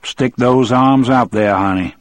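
A man's voice: mid-range voice, measured pace, dry recording, natural voice. A man voicing a tough male prison guard in an audiobook, taunting and menacing with mock sweetness. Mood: stern, menacing.

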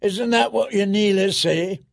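A male narrator performs a red-faced wildling chieftain from a fantasy audiobook. He is loud, coarse and full of bluster. His voice sits high and has a gravelly edge. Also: a little slow. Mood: muttering. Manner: blustering, bawdy, roaring. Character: boisterous, crude, red-faced wildling warrior chieftain, laughing and roaring.

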